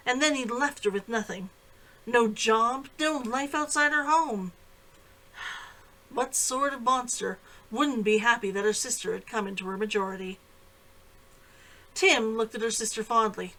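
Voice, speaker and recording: A woman narrating her own novel in an expressive, storytelling manner, as a scripted read-aloud. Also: on the high side, rough recording. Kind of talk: scripted audiobook-style narration.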